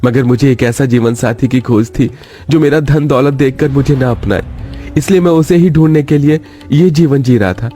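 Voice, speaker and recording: A man playing an earnest, gentle young rich groom in a cartoon story, speaking in a measured way. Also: medium pitch, soft background music, lightly acted. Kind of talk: Hindi cartoon story dialogue.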